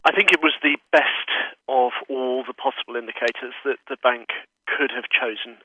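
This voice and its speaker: A man speaking over a telephone line as an economist guest, giving measured, analytical answers in an interview. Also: medium pitch, fairly even intonation, bright, forceful tone, slightly rough, no echo. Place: remote, on a phone call. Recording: narrow, tinny phone line.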